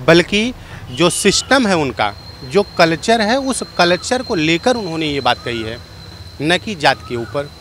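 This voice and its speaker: A man with an Indian accent giving his opinion in a measured, explanatory way in a street interview. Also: a little high, sing-song, a bit bright, a little hiss or hum. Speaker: member of the public giving opinion. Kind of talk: vox pop opinion.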